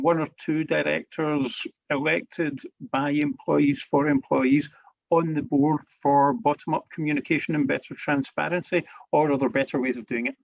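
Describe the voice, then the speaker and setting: A man's voice: on the high side, creaky, dull audio. A man hosting a webinar over a video call, moderating questions with hesitant, stammering phrasing. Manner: hesitant, stammering filler-heavy. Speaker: webinar host.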